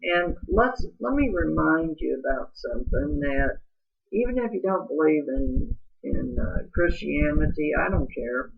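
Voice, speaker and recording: Slow, gravelly woman on tinny audio. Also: animated. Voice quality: low, rough-sounding voice. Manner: earnest, confiding, talks straight to the listener. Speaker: vlogger. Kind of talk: personal life story monologue.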